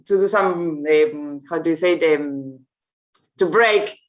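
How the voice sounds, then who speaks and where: Brief: woman, moderating a panel, halting and filler-heavy. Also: low-pitched. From Argentina. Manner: hesitant, searching for words, many fillers.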